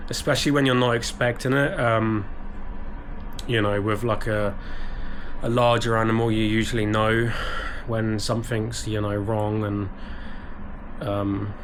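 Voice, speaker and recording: A man's voice: lowish voice, flat delivery, unhurried, slight background noise. Stuffy-nosed British man vlogging casually. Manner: rambling, with many ums and soft restarts. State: stuffy, bunged-up nose. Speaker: eBay reseller vlogger. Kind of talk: casual vlog talk to camera. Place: small home office.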